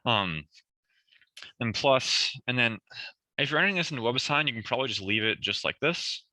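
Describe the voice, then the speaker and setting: Young male math tutor, slightly hoarse, methodical and self-correcting, dry and close. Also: medium pitch, animated, brisk, sharp tone, no echo, poor audio. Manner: talks through problems step by step, self-correcting. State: losing his voice, clearing throat. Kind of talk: recorded review session lecture.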